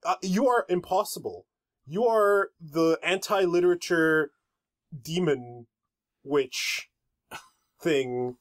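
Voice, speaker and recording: Higher-pitched man bantering on a podcast. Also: takes it slowly, long pauses. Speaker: podcast host.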